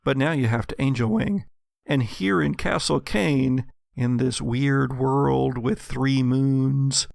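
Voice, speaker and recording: Creaky-voiced man narrating a tabletop game. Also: medium pitch, no room sound, clean studio sound. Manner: dramatic, vivid second-person storytelling. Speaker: game master.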